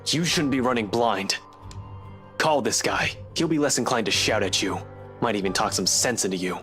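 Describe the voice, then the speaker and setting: A man's voice: lowish voice, moderate pace, fairly bright tone, soft background music, lightly acted. A man voicing a stern, protective male investigator who scolds curtly and swears when angry. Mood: gruff.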